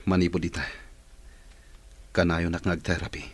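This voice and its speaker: An actor playing a brooding, stubborn boss in a wheelchair, terse and grudging, in an Ilocano radio drama. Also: male voice, low-pitched, level tone, normal speed. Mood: determined, softening.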